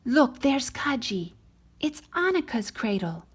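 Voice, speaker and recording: A woman acts out a frightened villager in a picture book. The voice is raised in pitch, urgent and exclaiming, with a breathy edge. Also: sing-song, slow, full character voice. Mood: alarmed. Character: alarmed villagers watching a flood.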